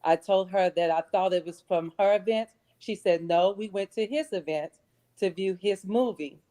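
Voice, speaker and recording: A woman's voice: mid-range voice, normal speed, warm tone. An earnest, polite woman calling in by phone to audition on a live online stream. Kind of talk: casual chat, then motivational recitation. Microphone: phone on a streaming call.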